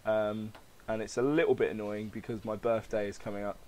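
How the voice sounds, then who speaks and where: A man's voice: on the low side, steady tone, fairly quick, a little mellow. Male podcaster with a slightly crackly throat. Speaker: podcast host. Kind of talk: casual podcast chat and reading aloud.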